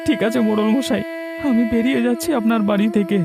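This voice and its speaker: A man's voice: very high-pitched, steady tone, fast talker, vocal fry, over music. A man voicing a whiny, remorseful young grandson who pleads, in a fairy-tale cartoon. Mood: miserable.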